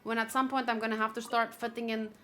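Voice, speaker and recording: A woman chatting casually on a gaming livestream, thinking aloud in an expressive, chatty way. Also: on the high side, fast, faint music behind. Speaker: video game streamer. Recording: clear background noise.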